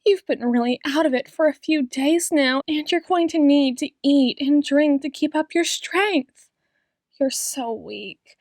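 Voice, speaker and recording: A high, close and dry female voice, acted by a woman as a yandere doctor in an intimate audio roleplay. She speaks slowly and tenderly, slipping into whispers and repeating herself, with devotion that carries a sweet menace. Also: darkish tone, dry recording. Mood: soothing, fussing. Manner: breathy whispers, lingering pauses, repeats phrases tenderly. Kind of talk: ASMR roleplay, dramatic monologue addressed to listener.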